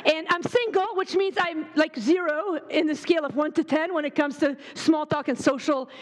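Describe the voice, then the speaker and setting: A woman in her forties from Lebanon, giving a fast, joking and fervent sermon at a women's retreat. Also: high-pitched, fairly even intonation, big-room sound. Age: 40s. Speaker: retreat speaker.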